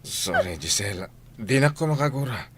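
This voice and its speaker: An actor with an Ilocano Filipino accent playing the lovestruck young master of a house in a radio drama. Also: male voice, lowish voice, expressive, moderate pace, breathy edge. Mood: pleading, remorseful.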